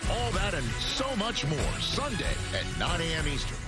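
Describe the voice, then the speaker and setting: A man's voice: sits high, very animated, moderate pace. A man narrating a scripted promo for a sports show. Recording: loud music bed under the voice.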